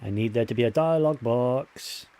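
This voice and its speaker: A man's voice: mid-range voice, moderate pace, mellow. A man giving casual, informal game commentary in short reactions as a streamer. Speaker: gaming streamer. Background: faint game music.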